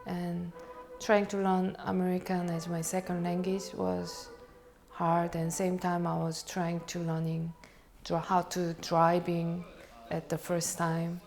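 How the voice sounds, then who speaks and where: A woman speaking English as a second language with a Korean accent, telling her story as a barber in a documentary interview, in halting phrasing and laughing at herself. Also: on the low side, completely flat delivery, creaky, darkish tone, soft background music.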